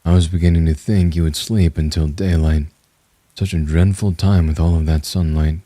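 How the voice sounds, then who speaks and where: A man voicing a calm, formal, contemptuous male entity that possesses a human body. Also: deep voice, normal speed.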